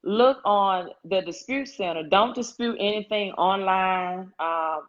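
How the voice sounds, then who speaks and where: A woman who works as a credit coach, joining a live stream by video call to give tips. She speaks carefully and fills her pauses with many ums. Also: mid-range voice. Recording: dull lo-fi call audio.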